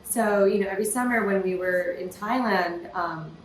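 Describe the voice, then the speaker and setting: A woman from California, a podcast guest, telling her story in a lively, casual way with many "you know" fillers. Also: mid-range voice, normal speed, echoey room, slight background noise, low-quality recording. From California, USA. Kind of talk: casual interview conversation.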